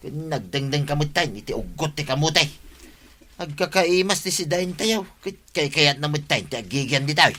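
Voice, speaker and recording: A man's voice: fairly high pitch, lively intonation, moderate pace, sharp tone. A man playing a grumbling, put-upon village husband in an Ilocano radio comedy drama, with an Ilocano accent. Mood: annoyed.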